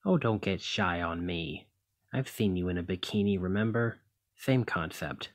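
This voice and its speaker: A man playing a gruff, protective demigod hero in an ASMR roleplay, scolding yet caring, with a husky voice. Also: deep voice, moderate pace. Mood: firm, caring. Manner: exasperated, bossy, caring.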